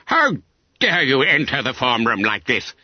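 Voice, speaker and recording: A man's voice: mid-range voice, big pitch swings, measured pace, dry recording, dull audio, voice pushed up. A man voicing a stern, outraged schoolmaster who scolds imperiously in a story reading.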